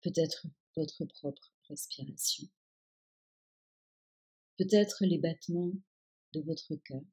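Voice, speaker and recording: A woman guiding a yoga nidra relaxation with calm, soothing instructions in a soft-edged, breathy voice. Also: deep voice, slow. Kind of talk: guided meditation, relaxation instructions.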